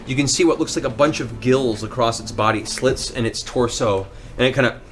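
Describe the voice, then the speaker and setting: A man's voice: medium pitch, fairly quick, slight background noise. A man running a tabletop fantasy game as game master, narrating in a descriptive, dramatic storytelling way. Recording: music under the voice.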